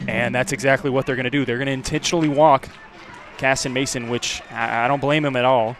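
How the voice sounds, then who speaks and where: A man with an American accent giving live radio play-by-play commentary of a baseball game, measured between plays and louder on the action. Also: medium pitch, steady tone.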